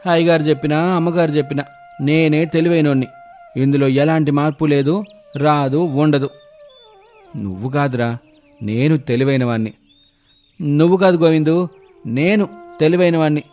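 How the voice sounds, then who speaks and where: A man's voice: on the high side, normal speed, pauses often, darkish tone, faint music behind, lightly acted. Man playing a squabbling cartoon simpleton; raised sing-song whine, childish and boastful. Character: two silly squabbling village simpletons, grown men. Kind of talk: cartoon voice acting.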